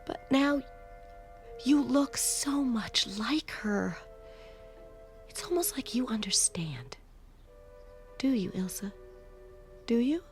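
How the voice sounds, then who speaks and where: Radio drama housewife: grieving, anxious, clinging. Hushed, halting delivery with very mobile pitch. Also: female voice, gravelly, exaggerated voice. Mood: tender, wistful. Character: anxious grieving housewife, possessively tender toward foster girl. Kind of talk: naturalistic radio drama acting.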